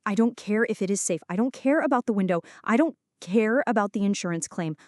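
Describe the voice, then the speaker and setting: A woman playing a flirty, anxious female friend in an intimate ASMR roleplay, speaking softly and dramatically, close to the listener. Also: medium pitch, animated, fairly quick, dry recording, lightly acted. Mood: scared, pleading. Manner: soft, close, dramatic pleading and confiding.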